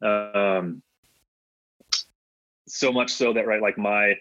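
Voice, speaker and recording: A man's voice: lowish voice, fairly even intonation, extremely slow, sharp tone, no room sound, lo-fi sound. A man from Michigan whose first language is Latvian, talking casually as an interview guest with many ums and restarts. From Michigan, USA. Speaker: guest, hunting brand co-founder.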